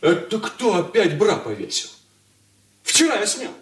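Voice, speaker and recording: Fussy, higher-voiced irritable son. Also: male voice, very animated. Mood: irritated. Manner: peevish, fastidious, giving precise instructions. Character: fussy, irritable, bossy adult son of the household. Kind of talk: Soviet radio play acting. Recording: roomy 1980s radio drama studio sound.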